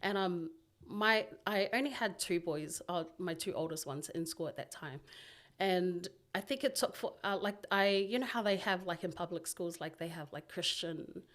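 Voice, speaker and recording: A woman in her thirties from New Zealand talks as a studio podcast guest. She rambles in a relaxed way with plenty of fillers, and her voice sits fairly low for a woman. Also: normal speed. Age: 30s. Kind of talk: casual interview.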